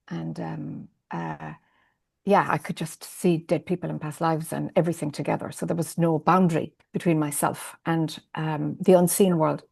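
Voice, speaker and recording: A woman's voice: fairly low pitch, creaky, a little mellow. Irish woman in her fifties, candid. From Ireland. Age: 50s. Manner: rambling, candid, laughs often. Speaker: spiritual teacher, guest. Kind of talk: conversational interview. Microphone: video call.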